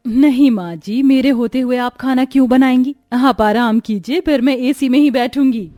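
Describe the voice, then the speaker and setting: A kind young elder daughter-in-law in a family moral story, played by a woman. She speaks politely and gently. Her manner is dutiful and placating. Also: high voice, normal speed, faint music behind, natural voice. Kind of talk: dramatised moral story acting.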